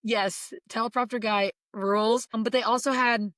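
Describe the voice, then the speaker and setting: A woman co-hosting a podcast, hoarse today, chatting excitedly about bands she loves. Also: on the high side, moderate pace, dry recording, studio quality. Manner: chatty, excited fan storytelling. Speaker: podcast co-host. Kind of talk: casual podcast chat.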